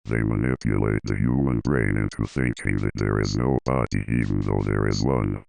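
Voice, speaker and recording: A man voicing an emotionless, obedient laboratory supercomputer in stiff, monotone, clipped phrases for an audio drama. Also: rumbling low, normal speed, vocal fry, no echo, heavily acted. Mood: flat, obedient. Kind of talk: scripted robotic announcement.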